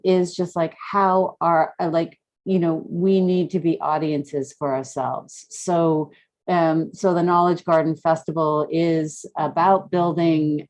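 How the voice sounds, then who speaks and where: A woman with a slightly low voice speaks as a guest in a casual, reflective discussion. She feels her way through her thoughts haltingly, searching for the right word. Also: darkish tone, lo-fi sound. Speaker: art gallery director and curator, guest speaker. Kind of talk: casual reflective panel talk.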